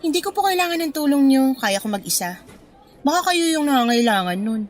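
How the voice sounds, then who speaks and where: A woman's voice: sits high, sing-song, a little fast. A woman playing a curt, resentful young college student in a radio drama, with cold, blunt politeness. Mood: scornful. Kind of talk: radio drama acting in Filipino. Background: music bed.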